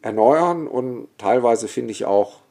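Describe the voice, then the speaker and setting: A man's voice: medium pitch, animated, takes it slowly, vocal fry, warm tone. A man, a historian and guest, telling stories in a vivid, opinionated way with many asides. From Germany, Bergisch Gladbach area. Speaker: guest, historian and cemetery expert. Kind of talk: relaxed storytelling in conversation.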